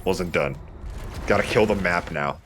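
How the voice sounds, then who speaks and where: A man hosting a let's play, giving casual, expressive commentary as he plays a shooter. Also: sits low, unhurried. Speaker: let's play host, playing a shooter game. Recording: noticeable background noise.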